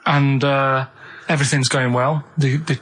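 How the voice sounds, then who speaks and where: Male radio regular, Manchester accent, mid-pitched, deadpan, hesitant, rambling storyteller. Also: a little slow, slightly rough. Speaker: radio show regular presenting a feature. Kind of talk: casual radio chat.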